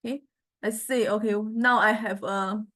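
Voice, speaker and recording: A woman lecturer giving an informal, unscripted software demonstration, with halting phrasing and frequent check-in questions. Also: mid-range voice, steady tone.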